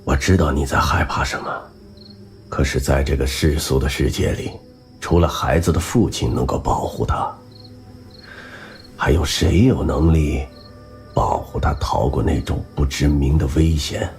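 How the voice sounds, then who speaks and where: An actor playing a soft-spoken, quietly menacing middle-aged spymaster in a wheelchair in an audio drama. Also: male voice, extremely low pitch, steady tone, unhurried, faint music behind. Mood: calm, mocking.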